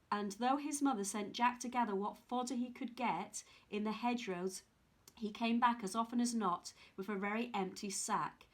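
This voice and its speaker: A woman reading a children's story aloud in an expressive storytelling style. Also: mid-range voice.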